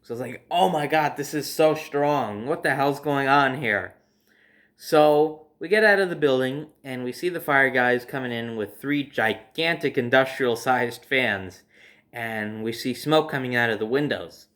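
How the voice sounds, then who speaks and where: A man with a mid-pitched voice tells a personal story in a casual, chatty way. He hosts the telling himself and animates it with acted-out reactions, keeping the wording informal. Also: a little slow, fairly bright tone. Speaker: storyteller hosting own video series.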